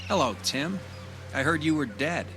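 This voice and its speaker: A man's voice: a little high, animated, moderate pace, pushed and bright. An actor in an audio drama playing a wry, cynical man who scolds and needles. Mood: sardonic. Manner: sardonic, needling. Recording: music under the voice.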